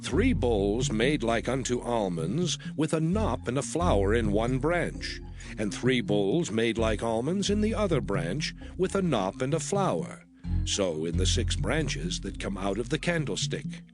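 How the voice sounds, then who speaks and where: A man reading scripture aloud as dramatized audio Bible narration, formal and solemn, with measured phrasing. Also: medium pitch. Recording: prominent music under the voice. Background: music.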